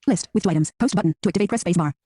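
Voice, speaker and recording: A synthetic screen reader voice announcing interface labels in a flat, robotic manner. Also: mid-range voice, rapid-fire, dry recording, studio quality.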